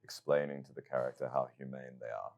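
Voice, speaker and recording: Casting: male presenter, extremely low bass voice, formal interview delivery with careful wording. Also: steady tone, fast talker, gravelly, darkish tone, muffled recording, soft background music. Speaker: interviewer, host.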